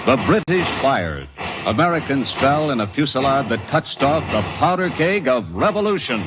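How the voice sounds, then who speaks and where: A man's voice: mid-range voice, big pitch swings, moderate pace, muffled recording. A man giving dramatic, declamatory scripted narration in the style of old-time radio. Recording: vintage radio recording with loud music.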